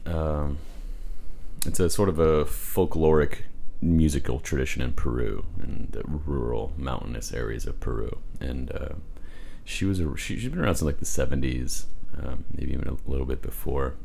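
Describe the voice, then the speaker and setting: A man with a deep voice co-hosting a podcast in casual chat, rambling and restarting his sentences. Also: moderate pace, vocal fry, warm tone, a little hiss or hum. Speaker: podcast co-host.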